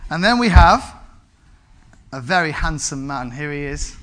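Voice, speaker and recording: Guy in his 40s preaching, high bright voice, keeps asking for amens. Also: measured pace. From England. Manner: animated, asks the congregation for amens. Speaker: pastor. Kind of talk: sermon.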